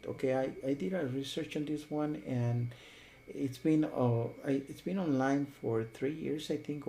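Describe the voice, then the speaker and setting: Male reviewer, casual and rambling, creaky mellow voice over faint hum. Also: medium pitch. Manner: rambling, repeatedly checks with "okay?" and "you know". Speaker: software reviewer. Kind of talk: casual unscripted review talk.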